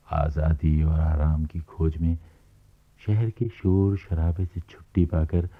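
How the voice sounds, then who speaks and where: An actor playing a wry, measured storyteller narrating a radio play. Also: male voice, low voice, gravelly, husky, full character voice. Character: wry, sceptical male storyteller, slow and measured. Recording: muffled, phone-like archival radio recording.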